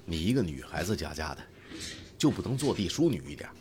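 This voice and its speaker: A man plays a very deep-voiced, weary young observatory worker in a sci-fi radio drama. He mutters in a gruff, brotherly, caring way. The track is clearly noisy with keyboard clicks. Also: very animated, brisk, a little mellow. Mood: gently chiding. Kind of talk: audio drama dialogue.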